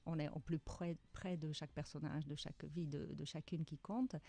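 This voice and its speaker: A middle-aged woman with a Czech accent, an author answering radio interview questions in a reflective way, often pausing to search for words. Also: deep voice, fairly even intonation, vocal fry, dark tone. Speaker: author guest.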